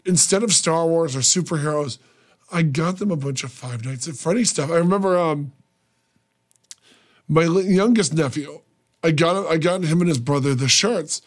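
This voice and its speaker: A man hosting a radio interview, telling stories enthusiastically in a small studio on a desk mic. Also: fairly high pitch. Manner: talkative, storytelling, enthusiastic. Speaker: radio show host. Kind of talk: casual interview chat.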